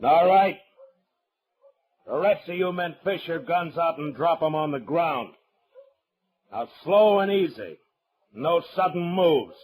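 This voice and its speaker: A stern, curt frontier marshal. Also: male voice, on the high side, takes it slowly, long pauses, breathy edge, full character voice. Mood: loud, commanding. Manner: curt, commanding, steady. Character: stern, unflinching frontier US marshal, grown man. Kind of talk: old-time western radio drama acting.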